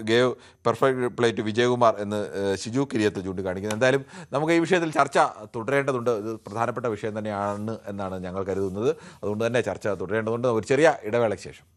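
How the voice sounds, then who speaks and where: Fast-talking male talk show host. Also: medium pitch. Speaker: TV phone-in discussion host. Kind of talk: live commentary, reading out and answering viewer comments.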